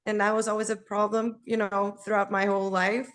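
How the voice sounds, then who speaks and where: Female guest singer, naturally raspy, easygoing and talkative. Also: mid-range voice, little pitch movement, fairly quick, fairly bright tone. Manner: laughs easily, rambling and friendly. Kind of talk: casual interview chat.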